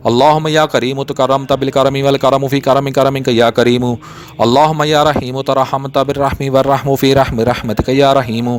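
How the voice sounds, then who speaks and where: Man, rapid recited prayer, steady chanting rhythm, monotone delivery. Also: mid-range voice. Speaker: supplication reciter.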